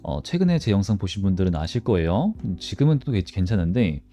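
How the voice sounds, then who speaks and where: Low, animated man explaining stocks. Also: fast talker, faint music behind. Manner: explanatory, poses questions and answers them. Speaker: stock analysis channel host. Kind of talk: informal market commentary to viewers.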